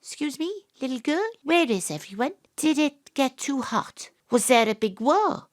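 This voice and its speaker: An actress with a Welsh accent playing a plucky, curious, cheeky time traveller in an audio drama, expressive and questioning. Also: female voice, high voice, moderate pace, no echo, studio quality. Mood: curious, bewildered.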